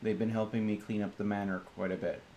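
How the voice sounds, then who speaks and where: A man's voice: fairly low pitch, flat delivery, fast talker, warm tone, a little hiss or hum. A man playing a tabletop roleplaying game on a stream, talking casually in character and bantering with the group.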